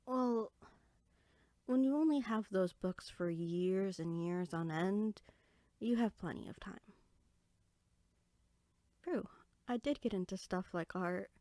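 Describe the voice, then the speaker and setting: A woman playing a small, bookish, friendly fairy in a roleplay audio drama, speaking in a chatty, expressive, conversational way. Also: mid-range voice, takes it slowly, pauses often, dry recording, studio quality, lightly acted. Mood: eager, friendly.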